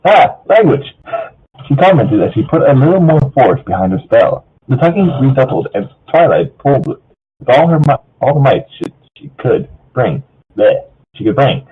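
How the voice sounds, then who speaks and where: Man, very husky, lively casual story narration, stumbling delivery, phone-like muffled sound. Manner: stumbles over words, often repeats phrases. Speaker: story reader. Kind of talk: casual narration of fan fiction. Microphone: online voice call. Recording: muffled low-fidelity voice chat.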